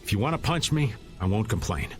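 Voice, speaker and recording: A man's voice: on the low side, big pitch swings, fairly quick. An actor playing an easygoing, wry middle-aged man in a game, over background music. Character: laid-back joking middle-aged man.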